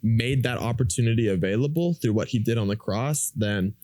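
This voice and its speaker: A man's voice: lowish voice, brisk, darkish tone, dry recording, clean studio sound. A man speaking as a podcast guest in an earnest, informal, emphatic way, in a conversational discussion of faith.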